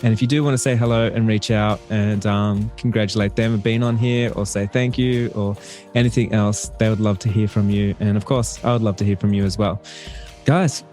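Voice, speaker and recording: A man's voice: fairly low pitch, fairly even intonation, fairly quick, darkish tone, faint music behind. A man hosting a podcast, upbeat and laughing often. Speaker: podcast host. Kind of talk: casual chat.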